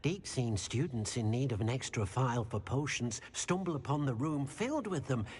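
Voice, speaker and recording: Reverberant hall with faint noise around a humble little house elf, played by a man. He is eager and deferential, refers to himself in the third person, and his pitch swings about in an animated video game performance. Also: moderate pace, natural voice. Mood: earnest, explaining. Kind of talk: video game character acting.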